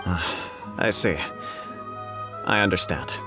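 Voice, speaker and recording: A man's voice: deep voice, slow pace. A man playing a courteous official of a noble house in a video game, speaking formally and deferentially. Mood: conciliatory. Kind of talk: video game character acting. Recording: background music under the voice.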